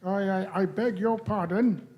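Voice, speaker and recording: A man acting a young impostor posing as a police officer in a radio play. His voice is high for a man. He improvises politely, flustered and stammering. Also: steady tone, measured pace, dull audio.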